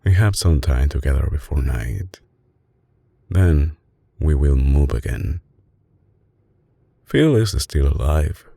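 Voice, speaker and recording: A man playing a tender, possessive mafia boss with an Italian accent in an intimate, soft-spoken ASMR roleplay, his voice deep, breathy and husky. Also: expressive, dry recording, full character voice. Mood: calm, conspiratorial. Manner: slow, hushed, coaxing, with dramatic pauses. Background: music.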